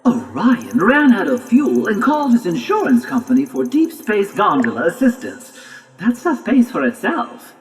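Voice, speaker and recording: A woman's voice: mid-range voice, huge pitch swings, normal speed, soft background music. A woman voicing a chatty, know-it-all robot guide in a video game, explaining things in a prim, wordy way. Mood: wry narrating. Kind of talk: video game cutscene dialogue and narration.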